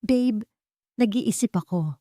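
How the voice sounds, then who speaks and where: A woman's voice: medium pitch, animated, slow, mellow, no room sound, studio quality, voice pushed up. A woman voicing a cold, vengeful wealthy woman in an audiobook, with a hard, controlled delivery. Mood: falsely sweet. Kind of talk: audiobook character acting.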